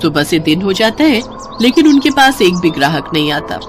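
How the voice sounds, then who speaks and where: A woman's voice: fairly low pitch, very animated, moderate pace, music in the background. A woman storyteller reading scripted moral-story narration.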